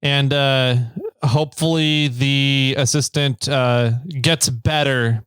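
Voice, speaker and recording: A man's voice: medium pitch, fairly even intonation, takes it slowly, a bit bright, smooth, no room sound. A man hosting a casual podcast, joking around and laughing often. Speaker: podcast host.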